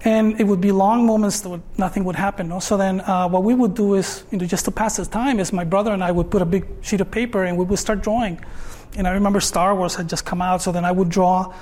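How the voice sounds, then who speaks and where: Man, Mexican accent, high voice, hesitant, lots of fillers, artist giving a talk. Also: steady tone, fast, darkish tone. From Mexico, Tijuana. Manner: hesitant, filler-heavy, frequent tag "no?". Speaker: artist guest. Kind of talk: conversational talk on stage.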